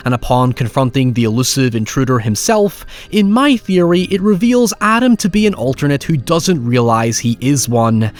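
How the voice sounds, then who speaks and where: A man hosting a video essay, narrating scripted commentary in an articulate, measured way with wry asides. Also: fairly high pitch. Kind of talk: scripted narration, film and horror retrospective commentary.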